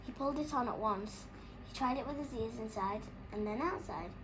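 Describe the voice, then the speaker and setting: A woman reading a children's picture book aloud as an expressive storyteller. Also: fairly high pitch, a little fast, music in the background, poor audio.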